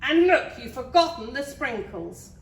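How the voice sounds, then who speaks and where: Woman doing a shrill, bossy little princess. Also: expressive, slow, fairly bright tone. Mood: demanding, disgusted. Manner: demanding, shrill, whining. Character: spoiled, bossy, shouty little princess girl. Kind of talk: children's storybook character voice. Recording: roomy, distant mic, faint noise.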